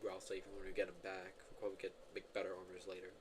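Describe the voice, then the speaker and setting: A man's voice: low-pitched, monotone, rapid-fire, noisy background. A man streaming a video game, giving casual, rambling commentary full of filler words and self-corrections. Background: game music.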